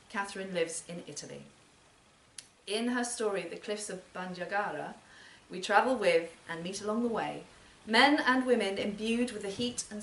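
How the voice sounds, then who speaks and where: A woman hosts a literary reading, delivering a prepared introduction. Her voice sits at a middling pitch. The live recording is roomy and distant, with faint noise behind her. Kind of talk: scripted spoken introduction at a literary event. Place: performance space in a library, live event.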